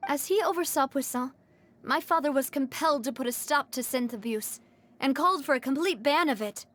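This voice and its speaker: High-voiced, earnest young leader. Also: female voice, fairly quick, a bit bright, a little hiss or hum. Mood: earnest, explaining. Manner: earnest, articulate. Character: confident young woman, earnest, determined leader of an organization. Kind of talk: video game character acting.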